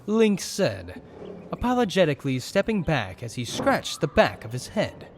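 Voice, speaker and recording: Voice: medium pitch, wildly swooping pitch, normal speed, a little hiss or hum. A narrator reading a scripted story for an audio drama in storybook style.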